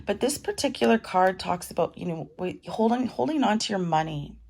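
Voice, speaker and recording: A middle-aged woman with a cold and a raspy voice, chatting casually as she gives a tarot reading at home. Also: medium pitch, expressive, a little fast. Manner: chatty, warm advice with fillers. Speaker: tarot reader.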